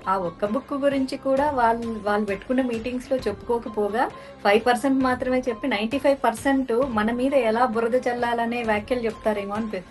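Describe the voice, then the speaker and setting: High-voiced woman hosting a podcast over music. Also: steady tone, fast. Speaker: podcast host. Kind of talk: casual podcast conversation.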